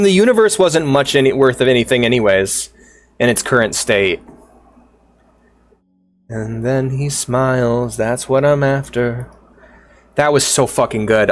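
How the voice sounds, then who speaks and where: Excitable, cursing male streamer. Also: medium pitch. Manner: profane, excitable reactions. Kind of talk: gaming livestream, reading dialogue aloud.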